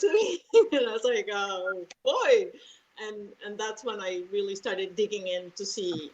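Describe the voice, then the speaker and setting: A woman's voice: mid-range voice, muffled recording. Woman, fifties, rambling and thoughtful, video call, faint background hiss. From Venezuela. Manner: thoughtful, rambling, laughs lightly. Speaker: mosaic artist and panelist. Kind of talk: casual panel talk.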